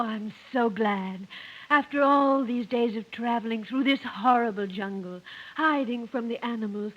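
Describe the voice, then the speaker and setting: Woman playing a high-voiced melodramatic jungle damsel. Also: slight background noise, close to an everyday voice. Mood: relieved. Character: earnest, distressed damsel lost in the jungle. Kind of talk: 1950s radio comedy acting. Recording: dull old radio recording.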